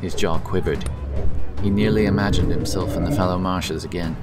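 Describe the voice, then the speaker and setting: A man's voice: low-pitched, fairly even intonation, moderate pace, recorded from a distance. A man giving a dramatic scripted narration of a fantasy story. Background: loud epic background music.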